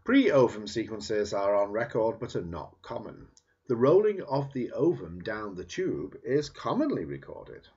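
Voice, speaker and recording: A man reading a book aloud in a deliberate, emphatic way with dramatic stresses on certain phrases. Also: fairly low pitch, very animated, a little mellow, slightly rough. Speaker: reader. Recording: dull, lo-fi sound.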